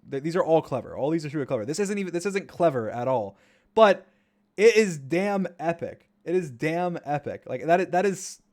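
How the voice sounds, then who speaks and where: A man casually commenting on his own gameplay clips during a stream, rambling and repeating himself with enthusiasm. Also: high-pitched.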